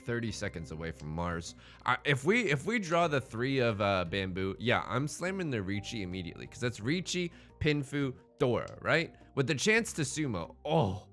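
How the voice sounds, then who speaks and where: A man with a bright, forceful voice gives casual game commentary as a host. His pitch swings widely as he rambles, repeats words and drops in joking asides, with faint music behind him. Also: big pitch swings, normal speed, few pauses. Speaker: host of a gaming Let's Play series.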